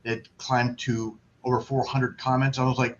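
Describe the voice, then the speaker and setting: A man's voice: medium pitch, level tone, normal speed. A man from Florida chatting casually as a guest on a livestream video call, brash, joking and self-deprecating. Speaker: guest on an online networking livestream. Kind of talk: casual chat. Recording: dull lo-fi webcam audio, loud background noise.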